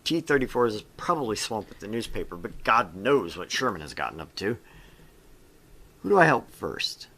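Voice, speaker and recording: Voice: mid-range voice, big pitch swings, moderate pace, pushed and bright, husky, exaggerated voice. A gamer voicing an eager, awkward young student helper in a visual novel, often hesitant. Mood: thoughtful, helpful. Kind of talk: acted visual novel dialogue.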